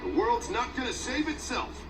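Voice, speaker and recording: A man voicing a gruff, teasing animal team leader in an animated game, brisk and commanding. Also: very high-pitched. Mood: rallying. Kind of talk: animated video game cutscene acting. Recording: echoey, distant, loud music.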